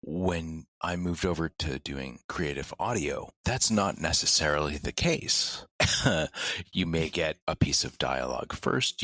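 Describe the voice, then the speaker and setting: Very deep-voiced man, measured. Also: fairly bright tone, no echo. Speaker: podcast audio creator. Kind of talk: conversational interview.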